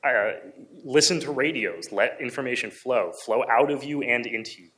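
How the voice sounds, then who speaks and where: A man's voice: medium pitch, normal speed, a bit bright. A man giving a public presentation in an earnest, reflective way as a conference speaker. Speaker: conference speaker, amateur radio hobbyist.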